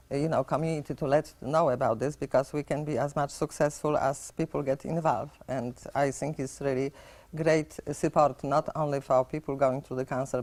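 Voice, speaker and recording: Woman, hesitant, halting speech, TV studio guest. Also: extremely low pitch, darkish tone. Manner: hesitant, halting with many fillers. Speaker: guest, board member. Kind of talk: morning TV interview.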